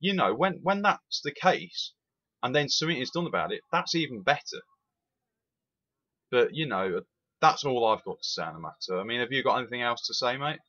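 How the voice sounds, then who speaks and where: A man's voice: medium pitch, fairly quick, muffled recording. A man, giving an opinionated, emphatic rant as a rail sim reviewer. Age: 20s. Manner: animated, emphatic, repeats itself.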